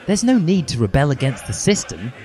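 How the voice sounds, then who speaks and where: A man's voice: medium pitch, huge pitch swings, brisk, warm tone, soft background music, some chatter behind. A man voicing a smug, preachy, bookish teenage schoolgirl in a naggy, prim manner for a comic story.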